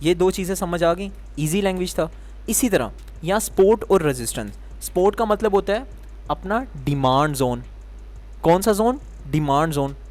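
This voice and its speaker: A man's voice: high-pitched, lively intonation, normal speed, darkish tone. A man with a sore throat giving a step-by-step lesson. He asks the viewer questions and then answers them himself. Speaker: trading teacher. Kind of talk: explanatory lesson.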